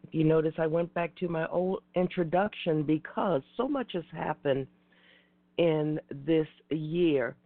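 A woman hosting an internet radio talk show, slightly hoarse and raspy from a cold, speaking in a measured way with repeated greetings. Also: deep voice, dry recording. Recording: tinny phone line.

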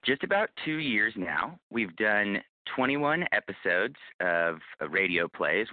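A man's voice: low-pitched, dry recording. A man from the United States explaining his work conversationally as an interview guest. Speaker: interview guest, podcast producer. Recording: thin phone-like audio.

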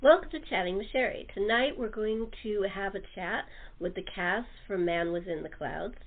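Woman, talk show host, casual chat, enthusiastic, mid-range pitch, narrow telephone sound. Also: normal speed. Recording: tinny, narrow phone-line sound.

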